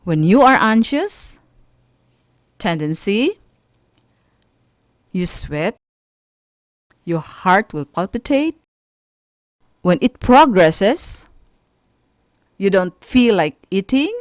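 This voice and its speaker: A woman teacher with a Filipino accent delivers a radio lesson at an unhurried pace. She explains in short, halting phrases with firm emphasis, her pitch moving expressively. The sound is dry and close. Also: no room sound.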